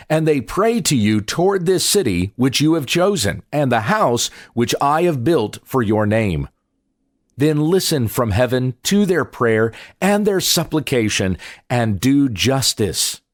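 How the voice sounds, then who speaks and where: A man reading the Bible aloud as a pastor, with solemn, measured phrasing. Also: medium pitch, dry recording, studio quality.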